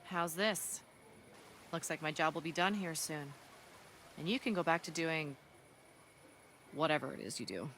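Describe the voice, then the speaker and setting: An actor playing an earnest, battle-hardened resistance fighter from a ruined future in a video game cutscene, solemn and deliberate. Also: mid-range voice, fairly bright tone, slight background noise, natural voice. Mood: matter-of-fact.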